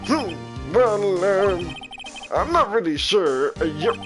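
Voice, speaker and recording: Guy doing a doddery old man, high voice, slow n confused, keeps going uh, music behind. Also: big pitch swings, exaggerated voice. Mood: befuddled. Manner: halting, vague, mumbling with many ums. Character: doddering forgetful old boat shop man.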